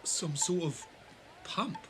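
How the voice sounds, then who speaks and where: A man's voice: fairly high pitch, lively intonation, slow pace, slight background noise. An actor playing a blunt, easily exasperated male companion in a fan audio drama. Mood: uneasy. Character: exasperated, plain-spoken male companion of the hero.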